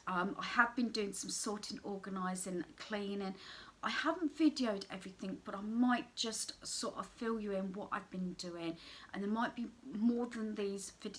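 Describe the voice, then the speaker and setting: An older woman vlogging in a rambling, casual chat with many pauses and fillers, her voice going hoarse. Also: fairly low pitch, a bit bright, a little hiss or hum. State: losing her voice.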